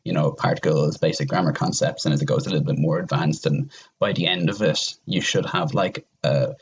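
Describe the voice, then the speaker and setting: A man's voice: rumbling low, fairly even intonation, fairly quick, husky. A man explaining things in casual podcast chat, rambling a little and circling back over his points. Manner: rambling, repeats himself for emphasis. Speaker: podcast panelist.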